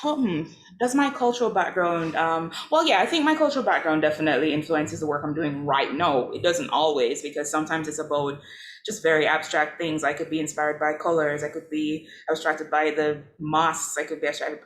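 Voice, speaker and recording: Low-voiced woman artist on a panel. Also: steady tone, brisk. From Jamaica. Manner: hesitant, laughs often, many fillers. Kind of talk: casual panel discussion. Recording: echoey room with faint noise.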